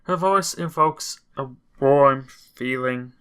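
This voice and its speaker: A man reading a visual novel aloud with casual commentary, halting and breaking off for wry asides. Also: medium pitch, a bit bright, clear, resonant tone. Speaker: video game commentator.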